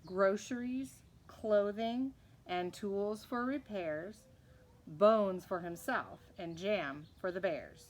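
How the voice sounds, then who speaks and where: A woman reading a children's picture book aloud expressively, in measured, rhythmic verse. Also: mid-range voice. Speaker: read-aloud storyteller.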